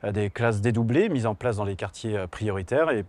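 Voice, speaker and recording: A man in his forties, a schoolteacher giving a campaign testimonial, pausing often with fillers as he talks. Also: lowish voice, animated, a little mellow, dry recording. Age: 40s. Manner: hesitant, many filler pauses. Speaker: middle and high school teacher.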